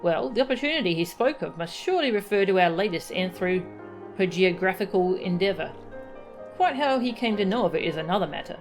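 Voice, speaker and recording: A woman voicing a courteous, learned expedition leader in a fantasy game, with formal, wordy and measured speech. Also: mid-range voice, lively intonation, music in the background, natural voice. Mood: courteous, guarded.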